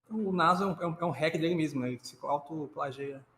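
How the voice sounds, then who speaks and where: A Brazilian man with a creaky, medium-pitched voice chats casually. He explains things haltingly, often checking for agreement, in a roomy recording with faint noise. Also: a bit bright. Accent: Brazilian Portuguese. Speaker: co-host reacting to a video. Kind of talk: casual chat.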